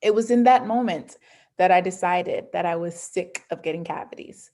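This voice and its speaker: A woman's voice: lowish voice, moderate pace, dark tone. A woman giving an interactive online talk, speaking emphatically and directly to listeners over a video call. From born in Jamaica, grew up in Georgia, USA. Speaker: pediatric dentist, guest speaker. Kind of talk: prepared, interactive online presentation. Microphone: computer on a video call.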